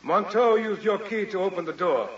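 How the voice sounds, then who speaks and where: Actor playing a theatrical scheming French duke, echoey old radio. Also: male voice, high voice, moderate pace, highs cut off, lightly acted. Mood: accusing. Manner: theatrical, formal. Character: scheming French duke posing as a police inspector, vengeful. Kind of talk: old radio melodrama acting. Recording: distant, echoey, hissy vintage radio recording.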